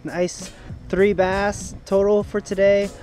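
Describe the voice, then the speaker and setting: Excited man fishing by a river. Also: high-pitched, takes it slowly, faint music behind. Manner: excited, narrates gear while fishing, whoops and laughs. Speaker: fishing vlogger. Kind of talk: casual outdoor fishing vlog. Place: outdoors on rocks by a river. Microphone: head-mounted action camera.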